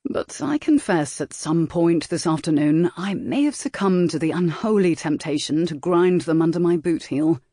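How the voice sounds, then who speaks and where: A woman's voice: fairly low pitch, animated, moderate pace, hardly any pauses, dark tone, no echo, studio quality. A woman voicing a languid, teasing aristocratic rake who drawls his lines.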